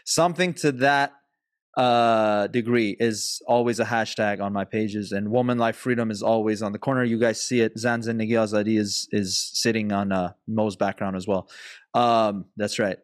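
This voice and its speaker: A man hosting a casual podcast interview, talking in an animated way with lots of restarts and the odd swear word. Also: on the low side, moderate pace, no room sound, studio quality. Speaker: podcast host.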